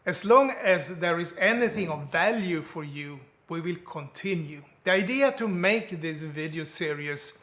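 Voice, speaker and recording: A man in his seventies from Sweden with a Swedish accent, talking to camera in earnest, plain-spoken and slightly halting English. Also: fairly high pitch, fairly bright tone. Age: 70s. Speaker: online business vlogger. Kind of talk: scripted talk to camera.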